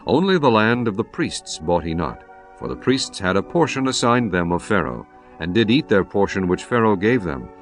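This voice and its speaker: A man narrating scripture in a solemn, expressive scripted reading. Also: fairly low pitch. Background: faint music.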